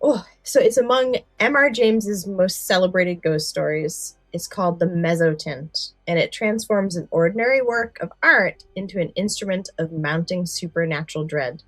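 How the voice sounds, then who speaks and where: A woman's voice: lowish voice, moderate pace, few pauses, dry recording. A woman co-hosting a podcast, talking in a casual, explanatory way. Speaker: podcast co-host. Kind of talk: casual podcast chat with some read-out story summaries.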